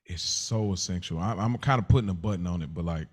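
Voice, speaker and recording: A man's voice: sits low, quick pace. An American man co-hosting a podcast, chatting casually and reflectively, slangy and affirming as he circles around his point. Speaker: podcast co-host.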